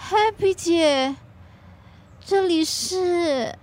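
An actress playing an anxious young wife in a radio drama. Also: female voice, extremely high pitch, drawn-out words, darkish tone, exaggerated voice. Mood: groggy, unwell. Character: anxious young wife, tipsy, later hungover and fretful. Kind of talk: Mandarin radio drama acting.

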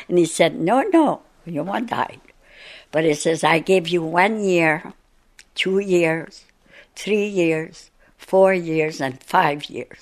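A woman over a hundred years old, a native French speaker with an Acadian French accent, reminiscing in an unhurried interview and laughing between thoughts. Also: fairly low pitch. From northern Maine. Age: over 100.

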